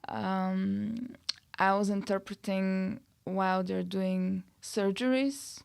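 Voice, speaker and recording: Woman, young adult, earnest, short phrases, mid pitch. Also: fairly even intonation, slow, creaky, darkish tone, clear, resonant tone. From Bulgaria. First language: Bulgarian. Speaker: podcast guest. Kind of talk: casual interview chat.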